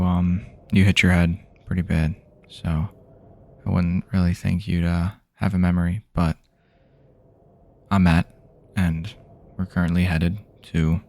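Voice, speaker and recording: A man doing improvised ASMR roleplay as an easygoing survivor guiding a friend, speaking to the listener in halting, casual phrases. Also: low-pitched. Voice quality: husky, gravelly, soft-edged voice. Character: easygoing survivor guiding a friend through post-apocalyptic wasteland.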